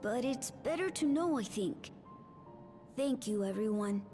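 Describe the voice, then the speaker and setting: A woman voicing a brave, wistful young orphaned boy in a video game, speaking softly and hesitantly. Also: a little high, animated, faint music behind. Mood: wistful, subdued. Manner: slow, hesitant.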